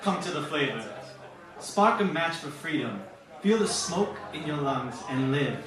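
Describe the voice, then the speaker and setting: A man's voice: on the high side, lively intonation, a little slow. Man playing echoey flirtatious radio villain. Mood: flirtatious, suave. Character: flirtatious, smooth-talking male villain in detective spoof. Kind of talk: parody old-time radio detective acting. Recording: echoey, distant, with crowd noise.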